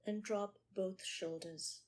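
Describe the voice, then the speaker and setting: A woman meditation teacher leading a guided meditation in short, measured phrases with pauses between them. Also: medium pitch, fairly even intonation, darkish tone.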